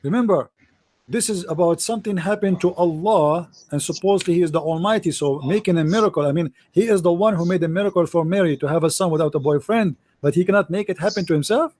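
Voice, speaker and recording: A man whose first language is Arabic, hosting a live call-in debate and arguing in a forceful, mocking way with non-native English grammar. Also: high-pitched, quick pace. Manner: forceful, mocking, repeats phrases. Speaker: show host and debater.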